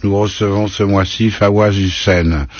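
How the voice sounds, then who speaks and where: A male radio host speaks formally in a low, flat monotone, steadily reading out announcements and questions. The broadcast sounds dull, with faint music underneath. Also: a little slow. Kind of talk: radio interview.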